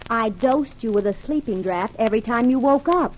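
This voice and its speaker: A woman's voice: a little high, lively intonation, moderate pace, a little hiss or hum, natural voice, poor audio. A woman playing a devoted young bodyguard in a 1950s radio crime drama, soothing and matter-of-fact. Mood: earnest, admiring.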